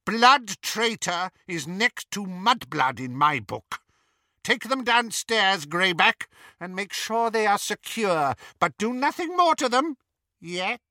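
A man's voice: sits high, big pitch swings, slow, pushed and bright, no echo, clean studio sound, pitched above the performer's own voice, heavily acted. A man voicing an imperious, frightening witch on the edge of madness, commanding and volatile, in an audiobook story. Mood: cruel command. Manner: shrieking, commanding.

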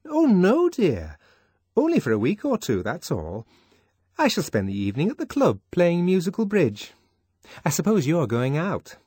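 A man's voice: on the high side, wildly swooping pitch, normal speed, a little mellow, slightly rough, no room sound, studio quality, voice pushed up, heavily acted. A man reading an audiobook voices a prim, disapproving young woman who scolds her friend with fussy, mock-shocked emphasis. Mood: chiding. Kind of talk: audiobook character voice.

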